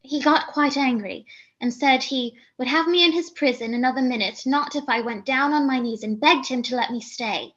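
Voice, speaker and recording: A woman's voice: high-pitched, normal speed, fairly bright tone, lo-fi sound. An actress playing a flighty, self-important young lady in a theatrical comedy, arch and declamatory. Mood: grandly confiding. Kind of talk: theatrical radio comedy monologue and dialogue.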